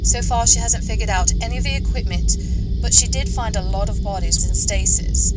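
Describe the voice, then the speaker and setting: A female starship engineer, acted by a woman in a sci-fi audio drama. She is capable and businesslike, with a medium-pitched voice and music clearly audible behind her. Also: a little fast, close to an everyday voice, low-quality recording. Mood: attentive. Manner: businesslike, technical.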